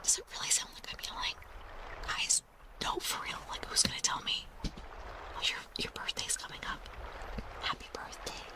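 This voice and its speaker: A woman's voice: normal speed, pauses often, low-quality recording. A woman hosting an ASMR livestream, whispering breathily and close to the mic in a playful, rambling, apologetic way. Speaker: livestream host.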